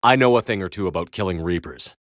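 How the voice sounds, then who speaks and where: Low-voiced, commanding soldier hero. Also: male voice, expressive, fast, no echo, clean studio sound. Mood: firm, resolute. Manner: firm, terse, authoritative delivery. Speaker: video game voice actor. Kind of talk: video game character dialogue.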